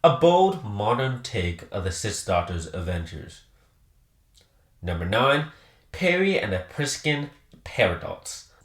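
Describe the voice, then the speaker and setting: Male countdown host, lowish voice, lively swooping intonation, enthusiastic scripted narration, emphatic phrasing. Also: slow, reverberant. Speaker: host presenting a ranked countdown.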